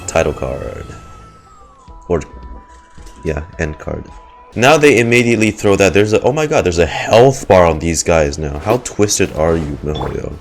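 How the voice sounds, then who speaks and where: A man's voice: sits low, animated, slow pace, pauses often. A man doing casual, rambling game reaction commentary as a streamer, with joking asides. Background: faint music.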